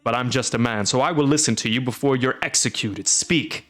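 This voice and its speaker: A man voicing a loud, gruff middle-aged castellan who speaks emphatically with sharp rises. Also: mid-range voice, brisk, lightly acted. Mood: stern. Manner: exclaiming, emphatic, rising.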